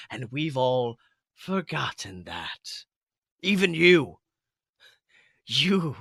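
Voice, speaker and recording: A man voicing a scarred old wolf villain in a story, menacing and grandiose, making slow theatrical speeches. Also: mid-range voice, creaky, bright, forceful tone, no echo, studio quality, full character voice. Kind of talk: dramatic storytelling character voice.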